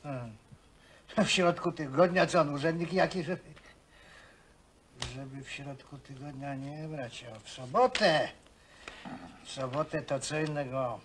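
A man's voice: fairly high pitch, unhurried, long pauses, a bit bright, slight background noise. An actor playing a rowdy, crude working-class man in a film, slangy and teasing with his mates. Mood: coarse banter. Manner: coarse, slangy, teasing, chuckling. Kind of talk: naturalistic film acting. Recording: 1970 film soundtrack, voices in background.

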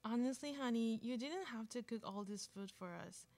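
Young woman as placating wife and mother for radio play, slightly high voice, polite. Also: moderate pace, darkish tone, natural voice. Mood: pleasant. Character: polite, peacemaking middle-aged mom and wife. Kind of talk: live radio theater acting, scripted. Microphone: stand microphone up close.

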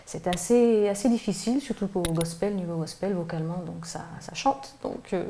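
Low mellow-voiced woman singer, hesitant. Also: brisk, a little hiss or hum. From Martinique, grew up in Paris region. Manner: hesitant, many fillers and restarts, laughs often. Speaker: gospel singer, guest. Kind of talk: casual interview chat. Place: living room by a piano, indoors. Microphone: handheld mic held by interviewer.

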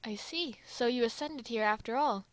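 Girl doing a smug creepy anime bad guy, high pitch, taunting, no echo. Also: normal speed, lightly acted. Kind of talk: amateur fan dub roleplay.

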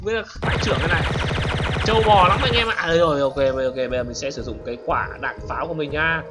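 A man with a distinctly high-pitched voice commentates on a video game. He talks casually and excitably, exclaiming and repeating words in slangy phrases. Music plays clearly behind him. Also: very fast. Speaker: gaming YouTuber, host.

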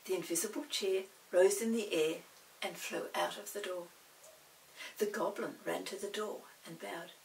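A woman in her sixties narrating a children's story expressively, in a dramatic, deliberate manner. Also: mid-range voice, fairly even intonation, gravelly, a little mellow, recorded from a distance, slight background noise, poor audio. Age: 60s. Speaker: storybook reader. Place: small indoor set with dark backdrop.

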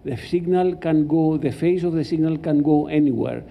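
Older male academic lecturer, Greek accent, gravelly, explanatory delivery, muffled hall recording. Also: fairly high pitch, moderate pace. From Greece. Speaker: professor lecturing.

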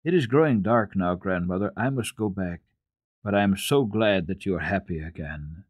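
A man's voice: low voice, moderate pace, breathy edge, no room sound, studio quality. A man voicing an eager, anxious, tender-hearted little girl with an earnest, questioning manner in a storybook reading.

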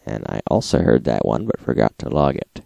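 A man with an extremely low voice, full of creak, narrates an informal recap. His tone is dark and mellow, and he reads entries out like a plain list, recorded close and dry. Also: big pitch swings, a little fast, a little hiss or hum. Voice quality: gravelly. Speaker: host reading radio logs.

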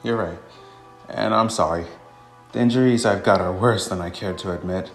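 A man plays a grave, brooding male protector from a war-torn game story. The voice is low and formal, with room echo around it. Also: moderate pace, faint music behind. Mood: apologetic.

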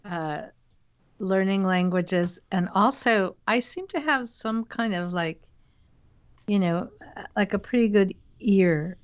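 An American woman co-hosting a podcast, talking in a relaxed way with plenty of fillers. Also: medium pitch, slow pace, smooth, no echo, muffled recording. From United States. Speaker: podcast co-host. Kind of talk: casual podcast chat.

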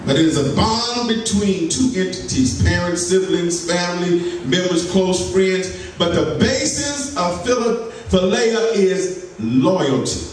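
Man minister, slightly high voice, slow unhurried preaching, distant pulpit mic in a roomy, noisy sanctuary. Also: animated. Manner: slow, folksy preaching with laughter and asides to the congregation. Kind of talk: sermon. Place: church sanctuary. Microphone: pulpit mic, filmed by a far camera. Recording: echoey church from far off, noisy.

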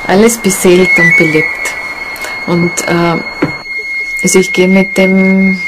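Woman kinda low voice, thinking out loud in an interview, noisy ambience around her. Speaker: shamanic seminar leader, interviewee. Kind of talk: informal documentary interview.

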